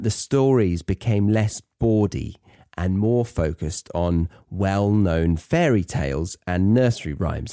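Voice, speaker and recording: A British man hosting an educational podcast in a conversational, chatty and reflective way, with thoughtful pauses. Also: low voice, warm tone, dry recording, clean studio sound. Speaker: podcast host and English teacher.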